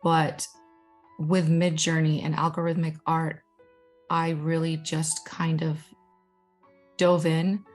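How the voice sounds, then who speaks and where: A woman's voice: low-pitched, flat delivery, slow, pauses often, faint music behind. A young woman from Guatemala whose first language is Spanish, chatting casually and enthusiastically as she presents her own artwork, with self-deprecating asides. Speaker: digital storytelling manager.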